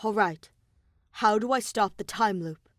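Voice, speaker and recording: A woman's voice: mid-range voice, measured pace, fairly bright tone, dry recording, close to an everyday voice. An anxious young man played by a woman speaks earnestly and hesitantly in audiobook dialogue. He sounds unsure yet determined, recorded close and dry.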